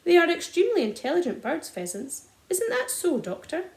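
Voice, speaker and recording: A woman voicing a calm, sly country father who speaks softly and wryly. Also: extremely high pitch, brisk, pitched above the performer's own voice, exaggerated voice. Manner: soft-spoken, wry. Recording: roomy echo.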